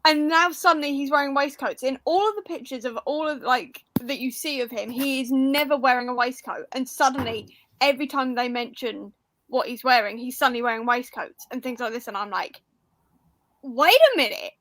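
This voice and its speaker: A woman's voice: sits high, a little fast, fairly bright tone, a little hiss or hum. A woman talking casually about books, telling her points in an informal, emphatic way. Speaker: book readalong panellist.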